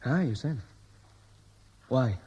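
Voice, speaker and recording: Wry emigrant son, Welsh accent with American tinge, laconic, teasing, relaxed husky tone, 1960s radio play. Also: male voice, medium pitch, takes it slowly. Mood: dry, probing. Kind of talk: 1960s radio drama acting.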